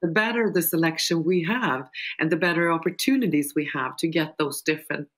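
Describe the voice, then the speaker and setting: Older lady on a video call panel, low voice, explains things slowly with stops and starts. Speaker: panel speaker and mentor. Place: small furnished room indoors.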